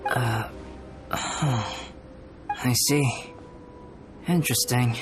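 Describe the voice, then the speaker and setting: A man playing a composed, analytical young alchemist knight in a video game, speaking in a measured, formal, precise way. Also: medium pitch. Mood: calm, analytical. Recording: music behind the voice.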